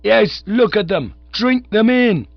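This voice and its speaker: A scornful bearded street orator yelling at the top of his lungs, high and loud, as a man acts him out. His voice has a gravelly, husky grain and leaps about wildly. The recording is dull and dry. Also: animated, takes it slowly, no room sound, heavily acted. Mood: ranting. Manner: shouting, ranting, scornful. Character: bearded male street-corner agitator, ranting.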